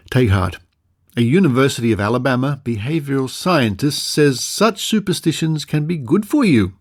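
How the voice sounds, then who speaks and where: Mature Australian male presenter, creaky mid-pitched voice, deliberate storyteller's read of archive news items. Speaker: podcast presenter. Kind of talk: reading old newspaper articles aloud with asides.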